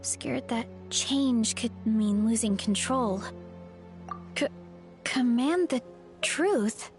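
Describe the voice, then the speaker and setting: Gentle young game heroine, high, slow-paced, earnest, hesitant, soft music behind. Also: female voice. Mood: anxious. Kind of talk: video game character acting.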